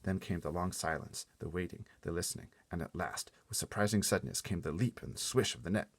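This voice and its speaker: A man reading a children's story aloud as an expressive narrator. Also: low voice, a little fast. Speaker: storybook reader.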